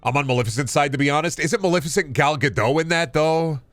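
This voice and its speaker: A man's voice: fairly high pitch, fairly bright tone, no echo, faint music behind. Casual rambling man, dry close sound. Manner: rambling, halting, repeats phrases. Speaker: video game streamer. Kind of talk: casual rambling livestream chat.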